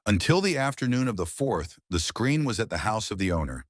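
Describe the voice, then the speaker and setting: A man's voice: lowish voice, very animated, a little fast, no room sound, clean studio sound, pitched above the performer's own voice. A man doing a sly, smug prosecutor. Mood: sly, assured. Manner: sly, assured. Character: smug male district attorney, middle-aged.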